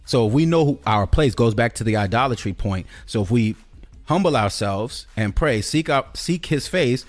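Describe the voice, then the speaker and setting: A man's voice: medium pitch, animated, moderate pace, soft background music. A man hosting a podcast, talking conversationally about spiritual and cultural ideas, emphatic and repeating key phrases for effect. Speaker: podcast host.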